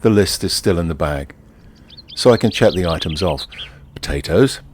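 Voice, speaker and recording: An actor playing a wry, easygoing ordinary bloke who confides in the listener in a leisurely first-person monologue. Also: male voice, low voice, expressive, normal speed. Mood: wry, amused. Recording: outdoor ambience with birdsong behind. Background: birdsong and outdoor ambience.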